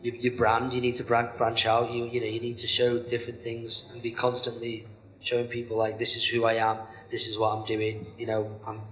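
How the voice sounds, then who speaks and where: A man's voice: mid-range voice, a little fast, roomy sound, slight background noise, low-quality recording. A young man, a pro wrestler, chatting casually in an interview, rambling with lots of fillers. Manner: rambling, many "you know" fillers, self-described monotone. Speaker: professional wrestler, interview guest.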